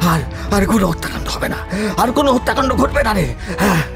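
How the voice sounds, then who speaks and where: A man's voice: sits high, expressive, quick pace, gravelly, music in the background. A man playing a guilt-ridden, panicked village landlord in a horror audio drama, stammering and repeating himself frantically. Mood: pleading, terrified.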